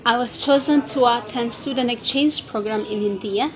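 A woman's voice: fairly high pitch, normal speed, a crowd in the background. Young Indonesian woman, rehearsed and careful. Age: 20s. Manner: careful, list-like, rehearsed delivery. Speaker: job-seeking student. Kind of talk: recited self-presentation for a video CV. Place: open-air wooden pavilion among trees. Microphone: lapel mic.

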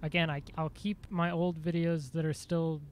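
A man streaming a game, chatting casually in a dry, monotone voice, rambling with fillers and self-corrections. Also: fairly high pitch, normal speed, a little hiss or hum. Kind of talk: casual live-stream chat.

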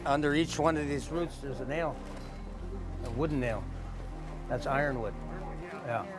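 A middle-aged man explaining his craft informally, in a plain-spoken, halting, reflective way, as a traditional builder. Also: mid-range voice, music in the background. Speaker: traditional canoe builder and knowledge keeper. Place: outdoors under a park tent.

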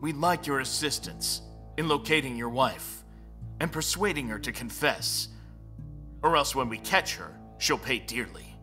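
Man acting a menacing, bright-voiced police chief. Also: medium pitch, measured pace, soft background music. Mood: stern, threatening. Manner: commanding, interrogating, threatening. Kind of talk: dubbed stage drama acting.

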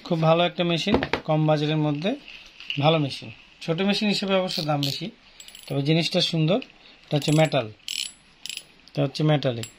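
Higher-voiced Bangladeshi man, noisy phone recording. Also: moderate pace, pauses often. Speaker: fishing tackle seller. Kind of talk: informal product showcase for customers. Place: small indoor fishing tackle shop. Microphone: handheld phone near the speaker.

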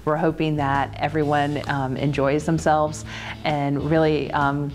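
A woman in her thirties presenting items for an auction in a measured, informative way, with occasional fillers. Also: deep voice, faint music behind. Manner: steady, measured, lightly hesitant with fillers. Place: conference room.

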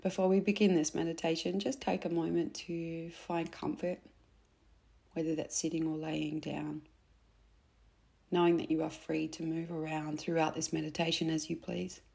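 A woman guiding a meditation in a soft, gentle, unhurried way, with phrase endings that turn breathy. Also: low voice, level tone. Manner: gentle, unhurried, instructing softly with pauses. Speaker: meditation guide. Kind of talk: soothing guided meditation narration.

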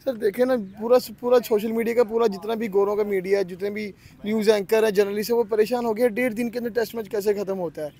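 A man's voice: high voice, fairly even intonation, quick pace, few pauses, mellow. A young man from Pakistan hosting a casual street interview outdoors with a handheld mic, often chiming in to agree. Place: outdoors by a park road.

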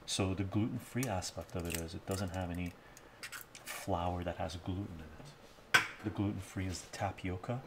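A man's voice: sits low, steady tone, normal speed, very noisy. A middle-aged man chatting casually to viewers while cooking in his home kitchen, moving toward and away from a fixed camera mic and often asking "right?". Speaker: cooking streamer. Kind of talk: casual live-stream cooking chat. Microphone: fixed camera mic, moves toward and away from it.

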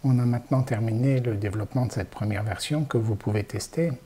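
An older man teaching programming, calmly explaining each step of a screen-recorded coding tutorial. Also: mid-range voice, fast talker, breathy edge.

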